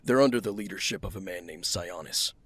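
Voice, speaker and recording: An actor playing a brooding, secretive masked vigilante detective in an audio drama, gravelly and terse. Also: male voice, low voice, fairly quick. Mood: stern, dismissive. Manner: terse, clipped.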